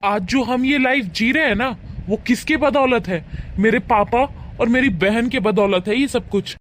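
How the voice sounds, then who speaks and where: A man voicing a devoted adult son, earnest and protective of his family, in an animated moral story. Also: very high voice, normal speed, over music. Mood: indignant.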